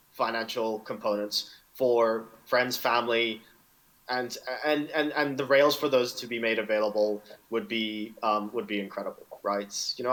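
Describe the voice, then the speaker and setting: A man from Indonesia, speaking as a guest in a business interview. He keeps a middle pitch and talks loosely, with many fillers and a habit of ending thoughts with "right?". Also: fairly bright tone, poor audio. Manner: peppers speech with "right?" and "you know". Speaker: fintech product executive, guest. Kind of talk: conversational interview answer.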